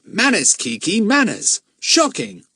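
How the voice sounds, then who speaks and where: A man's voice: high-pitched, wildly swooping pitch, slow pace, pushed and bright, slightly rough, no echo, studio quality, voice pushed up, full character voice. Brief: male reader doing a sensible, moody boy, storybook. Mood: earnest. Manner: earnest, a bit exasperated.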